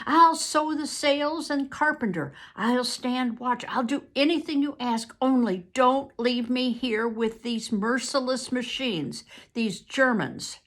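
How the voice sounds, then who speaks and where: A woman's voice: high voice, fairly bright tone, voice pushed up, full character voice. A woman reading aloud, voicing a desperate young male soldier who pleads earnestly.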